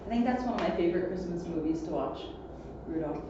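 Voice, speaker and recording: A woman's voice: on the low side, lively intonation, quick pace. Woman chatting casually, far from the mic, echoey room with noise. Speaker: co-host police officer. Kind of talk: casual chat. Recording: echoey, distant, muffled, clear noise.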